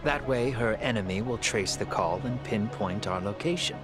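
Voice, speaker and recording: A man playing a calm, shrewd fugitive schemer in a video game dub, talking in a composed, deliberate way. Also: lowish voice, over music, lightly acted. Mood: shrewd, explaining.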